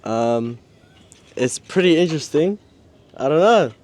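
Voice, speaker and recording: A man's voice: a little high, lively intonation, unhurried, darkish tone. A man from South Africa chatting casually, laid-back and hesitant. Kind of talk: casual chat.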